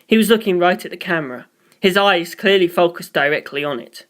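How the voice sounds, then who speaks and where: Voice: mid-range voice, animated, a little fast, a bit bright. A narrator reading a scripted story aloud. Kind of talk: scripted narration of fan fiction.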